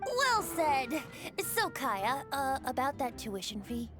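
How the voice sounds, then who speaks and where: A woman's voice: high-pitched, very animated, takes it slowly, music in the background, heavily acted. A woman voicing a cheerful young male bard in a video game dub, breezy and playful. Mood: jovial. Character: merry young male bard, carefree drinker.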